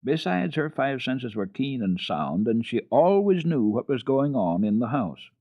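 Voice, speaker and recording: A man telling a children's story as a dramatic reading, expressive, measured and deliberate. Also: mid-range voice. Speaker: storyteller, radio host. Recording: dull, roomy old radio recording.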